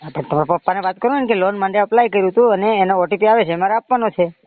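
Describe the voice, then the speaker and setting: Fast, high-voiced man with Gujarati accent. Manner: insistent, pushy, repetitive. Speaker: phone caller claiming to be a bank loan agent. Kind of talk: phone sales conversation. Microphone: phone line. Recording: muffled narrow-band phone call recording.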